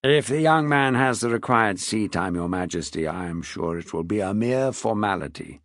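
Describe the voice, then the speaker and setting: A man voicing a tired, heavy-drinking courtier who speaks slowly and deferentially. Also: lively intonation, fairly bright tone, no room sound, studio quality. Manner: slow, low, deferential. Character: weary, heavy-drinking male courtier, measured and unhurried.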